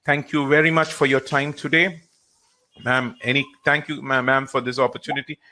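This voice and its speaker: Man answering questions, halting. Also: mid-range voice. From India. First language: Hindi or Gujarati. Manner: relaxed, halting, with frequent fillers. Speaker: trainer. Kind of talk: answering audience questions in a live session.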